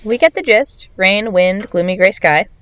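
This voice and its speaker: A woman's voice: medium pitch, expressive, moderate pace, fairly bright tone, dry recording, close to an everyday voice. A woman playing a dry, matter-of-fact, laconic friend in a naturalistic fiction podcast.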